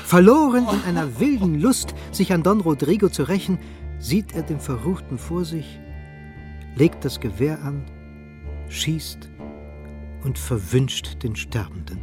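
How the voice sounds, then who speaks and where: Male radio drama narrator, slightly high. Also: big pitch swings, measured pace, over music.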